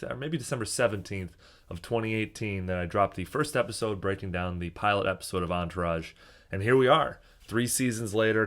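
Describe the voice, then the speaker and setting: Male podcast host, fairly low voice, loose and joking, small mic in a slightly echoey hotel room. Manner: chatty, jokey, self-deprecating, swears casually. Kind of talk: casual solo podcast monologue. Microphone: small microphone.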